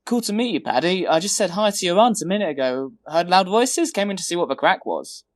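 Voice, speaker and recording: A woman's voice: fairly low pitch, expressive, fairly quick, a little hiss or hum, natural voice. A woman playing a flippant, cheeky young man home from university. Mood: breezy. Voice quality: flippant, casual.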